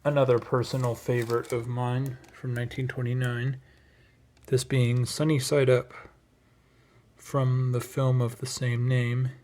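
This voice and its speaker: Man with an even, casual, rambling delivery. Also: medium pitch, measured pace. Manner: rambling, hesitant asides. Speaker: record collector presenting a collection. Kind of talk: casual unscripted show-and-tell.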